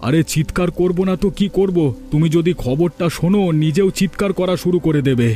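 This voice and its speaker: A man's voice: high-pitched, a little fast, mellow, lightly acted. A man voicing a greedy, scheming rich villager in a cartoon story, with an insistent, blustering manner. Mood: excited scheming. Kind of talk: Bengali cartoon story voice acting.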